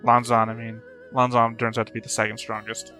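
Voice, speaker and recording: Male reaction host: casual, musing commentary, forceful bright tone, mid pitch, faint music behind. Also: completely flat delivery, fairly quick. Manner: musing, thinking aloud.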